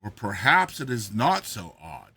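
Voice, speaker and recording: Theatrical male narrator, very animated delivery. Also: lowish voice, a little slow, slightly rough. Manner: theatrical, expressive. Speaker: narrator, host of a reading series. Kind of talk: dramatized short story narration.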